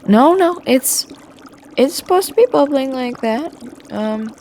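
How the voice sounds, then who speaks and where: A woman's voice: high voice, lively intonation, a little slow, warm tone, slight background noise. A woman playing a snickering trickster witch. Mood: flustered, disgusted. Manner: snickering, teasing, full of hesitant fillers. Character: mischievous male trickster witch in the woods. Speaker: potion tutor. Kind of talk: audio roleplay.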